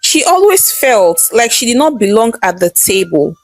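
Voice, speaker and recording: Lively woman storyteller over soft music. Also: fairly high pitch, normal speed, dry recording. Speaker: storyteller narrator. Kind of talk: scripted folktale narration, dramatic storytelling.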